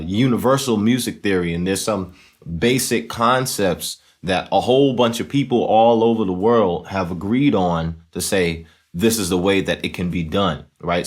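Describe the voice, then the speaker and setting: A man with a low-pitched voice hosts an informal music tutorial. He explains things conversationally, like a musician talking through his own approach, and regularly tags his points with little check-ins to the listener. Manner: conversational, often checks in with "right?" and "you know". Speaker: host of a music tutorial series, musician and singer.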